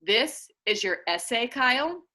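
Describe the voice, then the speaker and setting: A woman voicing a stern, exacting female homeroom teacher who speaks formally and crisply in a storybook. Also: a little high, expressive, measured pace, sharp tone.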